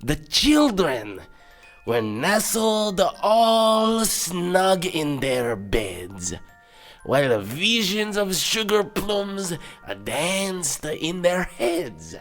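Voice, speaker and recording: Guy reading a storybook real dramatic, slow drawn out delivery, creaky voice, music underneath. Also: high voice. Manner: dramatic, expressive, drawn-out phrasing. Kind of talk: theatrical scripted storybook narration. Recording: music behind. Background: background music.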